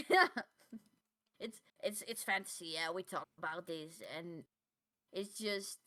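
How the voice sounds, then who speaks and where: A woman co-hosting a podcast in casual chat, halting and repeating words as she finds her phrasing. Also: fairly low pitch, sharp tone. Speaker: podcast co-host.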